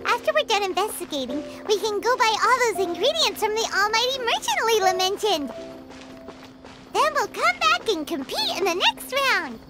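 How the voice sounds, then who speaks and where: Super high squeaky little game fairy, bouncy and excitable, music behind. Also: female voice. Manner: excitable, speaks of self in third person. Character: tiny chirpy female floating fairy companion, childlike and excitable. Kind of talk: video game character acting.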